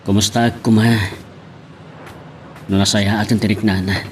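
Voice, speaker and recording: A man's voice: on the low side, flat delivery, normal speed, slight background noise, lightly acted. A man with an Ilocano Filipino accent playing a worried father in an audio drama. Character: worried father waiting on his absent wife. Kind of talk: Ilocano radio-style drama acting.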